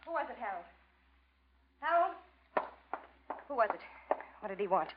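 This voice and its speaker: An actress playing a grasping, insistent wife in a 1940s radio noir drama, urgent and melodramatic. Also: female voice, mid-range voice, big pitch swings, normal speed, close to an everyday voice. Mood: anxious, probing. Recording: noisy, dull old radio recording.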